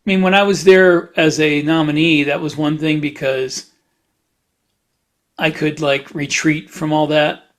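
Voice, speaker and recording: A man chatting casually as a podcast host, in a halting, rambling way with repeated words. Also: fairly high pitch, level tone, a bit bright. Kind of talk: casual chat.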